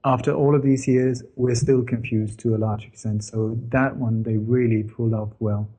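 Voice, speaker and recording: A man's voice: mid-range voice, fairly even intonation, moderate pace, dark tone, breathy edge, poor audio. A middle-aged man, telling his story to an interviewer in an earnest way with many fillers and self-corrections. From born in Denmark, grew up in Sweden. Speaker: interview guest, former journalist.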